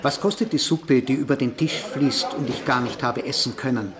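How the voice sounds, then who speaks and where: An actor playing an irritable, suspicious, brooding photographer in a radio drama, curt and querulous. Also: male voice, mid-range voice, normal speed, a crowd in the background, close to an everyday voice. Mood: indignant. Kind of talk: German-language radio drama acting.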